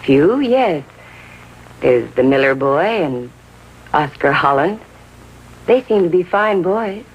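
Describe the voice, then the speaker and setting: A woman's voice: deep voice, lively intonation, takes it slowly, long pauses, slightly rough, dull audio, heavily acted. A woman playing a middle-aged American mother in a 1950s TV drama, genteel, earnest and insistently defensive. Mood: defensive, trusting. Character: defensive, trusting, genteel mother of a teenage boy.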